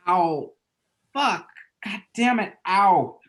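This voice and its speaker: A man's voice: high voice, animated, a bit bright, full character voice. Man acting a flustered, self-deluding lovesick guy. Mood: exasperated. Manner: halting, awkward, self-mocking. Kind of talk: table read of a comedy screenplay.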